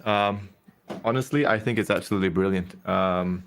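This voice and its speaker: A man with a Malaysian accent and a lowish voice chats over a video call as a relaxed guest. He laughs easily and stretches his words as he talks. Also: moderate pace, a little hiss or hum. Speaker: guest collector. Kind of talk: casual video call chat.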